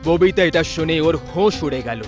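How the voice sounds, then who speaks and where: High-voiced male horror story narrator. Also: lively intonation, moderate pace, faint music behind.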